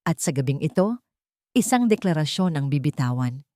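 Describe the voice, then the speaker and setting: A woman's voice: low-pitched, very animated, moderate pace, darkish tone, no echo, clean studio sound. A woman reading a scripted story as a dramatic narrator.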